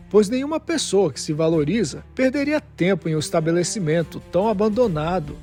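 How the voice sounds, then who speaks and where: A man with a high voice narrates a dramatic story. He reads scripted prose as an audio drama narrator, with soft music underneath.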